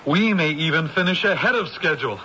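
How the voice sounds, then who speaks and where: An eager movie director in an old radio play, lively pitch, halting, muffled sound. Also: male voice. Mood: elated. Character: eager, money-minded male film director, Rex Blake. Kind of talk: vintage radio drama acting. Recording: dull, slightly noisy old radio recording.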